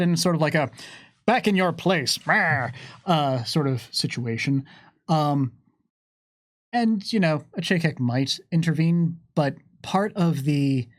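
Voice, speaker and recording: A man's voice: mid-range voice, no echo, studio quality. A man answering fan questions in casual, unscripted talk, mixing wordy academic phrasing with slang. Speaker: game developer answering fan questions on a stream.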